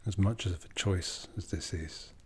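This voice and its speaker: A man playing an ancient, brooding vampire lord in an ASMR roleplay, speaking in a breathy, hushed, solemn voice that slips into whispers. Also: deep voice, a little hiss or hum. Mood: solemn, coaxing. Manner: deliberate, solemn, intimate. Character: ancient vampire lord, brooding, commanding, softly menacing, courtly. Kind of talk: ASMR roleplay, soft speech slipping into whispers.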